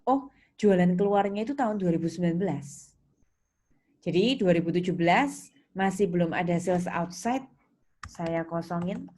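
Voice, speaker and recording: A woman's voice: fairly low pitch, darkish tone, slight background noise. A woman giving a patient, casual explanatory lesson, often repeating and rephrasing points for her students. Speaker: accounting teacher. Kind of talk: explanatory tutorial lecture in casual spoken register.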